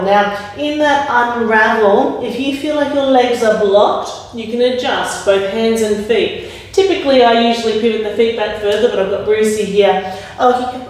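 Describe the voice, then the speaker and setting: A woman leading a yoga class with calm, gentle spoken cues. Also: fairly high pitch, normal speed. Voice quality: slightly raspy when straining. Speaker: yoga instructor. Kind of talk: guided yoga class instruction. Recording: very echoey room, mic at a distance.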